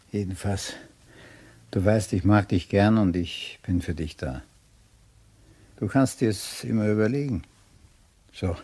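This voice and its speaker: An actor playing a fond, persistent male suitor in a crime radio play, husky and a little hesitant. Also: low voice, warm tone, exaggerated voice. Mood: resigned.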